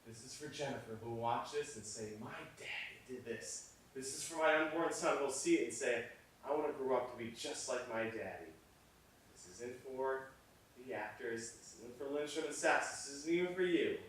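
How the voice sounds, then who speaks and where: A man's voice: fairly high pitch, very animated, normal speed, sharp tone, slight background noise. An actor playing an obsessive, stubborn male film director, speaking in an emphatic, self-important, theatrical way. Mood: rapt, grandiose. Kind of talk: theatrical dramatic dialogue. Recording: very echoey room, distant microphone.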